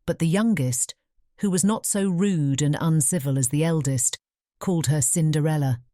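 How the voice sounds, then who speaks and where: Low, mellow British woman storyteller. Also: normal speed, no room sound, studio quality. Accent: British English. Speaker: audiobook narrator. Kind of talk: scripted fairy tale narration.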